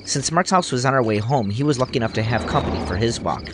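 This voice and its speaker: A man's voice: mid-range voice, expressive, fast talker, noisy background, lightly acted. A man playing a grown-up wryly recalling his boyhood in scripted first-person audio drama narration. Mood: wry, nostalgic. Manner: wry, nostalgic storytelling.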